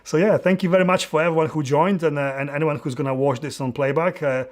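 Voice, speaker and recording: A man's voice: fairly high pitch, fairly even intonation, darkish tone. Polish man hosting a live Q&A. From Poland. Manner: halting, many restarts and fillers, friendly and open. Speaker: photographer and YouTuber hosting a live Q&A. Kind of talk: live-stream Q&A, casual chat. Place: dim home studio room indoors.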